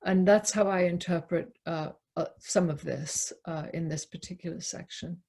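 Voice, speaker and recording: A woman leading a poetry discussion on a video call, explaining reflectively in deliberate, halting phrases with pauses. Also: fairly low pitch, steady tone, vocal fry, darkish tone. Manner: halting, deliberate, pausing between short phrases. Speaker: poetry reader and discussion leader. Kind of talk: reflective spoken commentary, unscripted teaching. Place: online video call talk.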